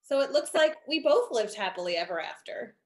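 A woman's voice: fairly high pitch, sing-song, brisk, echoey room. A woman in her thirties having a friendly, lightly scripted chat for children over a video call. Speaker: children's author. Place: indoor video call, small room.

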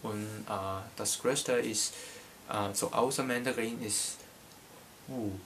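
Slow, halting young Hong Kong man. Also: lowish voice, fairly even intonation, fairly bright tone, slight background noise. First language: Cantonese. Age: young adult. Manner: slow, halting, many hesitations. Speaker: language teacher. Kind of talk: casual language lesson. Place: small bedroom indoors. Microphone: camera in front at desk distance.

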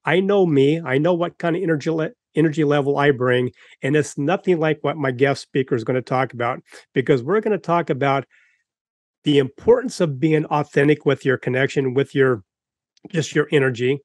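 A man's voice: on the high side, moderate pace, darkish tone, dry recording. A man in his fifties hosting a podcast over a video call from home, earnest and rambling, with frequent restarts. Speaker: podcast host. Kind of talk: enthusiastic podcast introduction.

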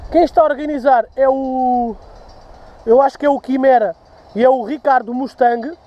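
A man's voice: extremely high pitch, fairly even intonation, normal speed, highs cut off, slight background noise. A man in his thirties with a European Portuguese accent, vlogging outdoors on a dirt path, talking loosely to a handheld camera while walking and drinking a beer, swearing freely. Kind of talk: casual vlog to camera. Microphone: handheld camera at arm's length.

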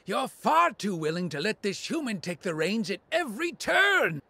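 An actor voicing a small, fussy old demon thief in a video game, raspy and prone to indignant spluttering. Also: male voice, high voice, sing-song, moderate pace, pushed and bright, no room sound, full character voice. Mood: flustered, indignant. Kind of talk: video game cutscene acting.

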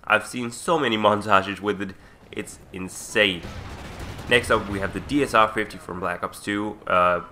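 A man's voice: lowish voice, steady tone, normal speed, bright, forceful tone, slight background noise. A young man talking casually over gameplay footage as a gaming commentator, sick with a sore throat, rambling and correcting himself as he goes. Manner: rambling, self-correcting, chatty.

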